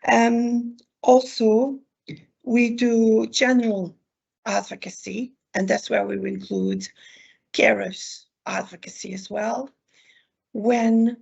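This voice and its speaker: Croaky, gravelly woman speaking slowly. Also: a little high, fairly even intonation, darkish tone. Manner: nervous, hesitant with many ums. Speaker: advocate. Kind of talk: presentation at an online event. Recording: dull lo-fi sound.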